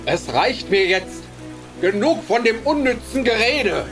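A harsh ship captain. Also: male voice, extremely high pitch, lively intonation, unhurried, music in the background, exaggerated voice. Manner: harsh, barking orders. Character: cruel, gruff male ship captain. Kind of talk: radio drama acting.

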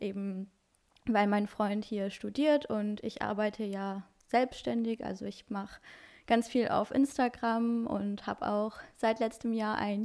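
Gravelly young Luxembourgish woman. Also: medium pitch, darkish tone. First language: not German. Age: 20s. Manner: earnest, with fillers. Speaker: interview guest, coach. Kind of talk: casual interview talk.

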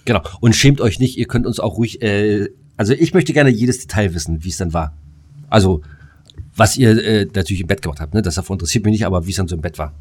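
Lowish-voiced man, podcast co-host. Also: fast talker, breathy edge. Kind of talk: casual chat between co-hosts.

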